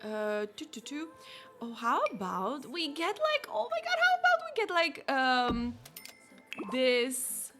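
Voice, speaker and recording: A woman's voice: high-pitched, sing-song, unhurried. A young woman with a Slovak accent, a non-native English speaker, chatting casually with viewers as she streams a game, sprinkling her talk with "like". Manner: chatty, heavy use of "like", reads viewers' chat aloud. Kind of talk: casual chat while playing a game. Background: faint game music.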